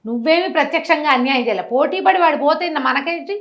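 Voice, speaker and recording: A woman's voice: high voice, fast. A woman telling a moral story conversationally, acting out dialogue and drawing lessons with rhetorical questions. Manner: animated, didactic, acts out dialogue with rhetorical questions.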